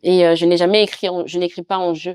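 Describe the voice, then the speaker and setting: A young woman from Cameroon with a Cameroonian French accent, speaking as a guest author in a reflective, halting way. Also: lowish voice, flat delivery, clean tone, no room sound, clean studio sound. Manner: reflective, soft-spoken, halting. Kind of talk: intimate podcast conversation.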